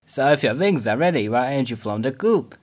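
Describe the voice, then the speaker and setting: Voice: medium pitch, lively intonation, darkish tone, soft background music, lightly acted. A performer voicing a cheeky, wisecracking cartoon kid with a glib, sarcastic manner in a fan dub. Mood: wry. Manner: glib, quick-witted, sarcastic.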